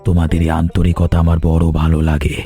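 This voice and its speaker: A man doing a kind old village elder in a cartoon, low mellow voice, quick talker, gentle and fatherly, soft music underneath. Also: completely flat delivery, lightly acted. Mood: nostalgic. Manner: gentle, fatherly, calls others "ma". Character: kindly elderly village man, warm and approving. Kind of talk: animated cartoon dialogue.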